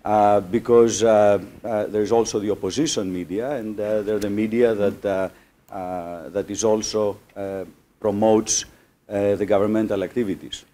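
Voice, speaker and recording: A man from Greece making an audience comment at a conference, halting with many uhs and restarts. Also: fairly low pitch, fairly even intonation, dark tone. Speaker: audience member.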